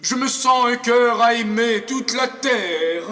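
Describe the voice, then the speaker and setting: An actor playing a cynical, swaggering aristocratic seducer in a classical French theatre monologue, grandiloquent and swooping between loud boasts and hushed asides. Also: male voice, very high-pitched, takes it slowly, faint music behind, full character voice. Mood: grandiose, conquering. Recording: echoey, reverberant hall.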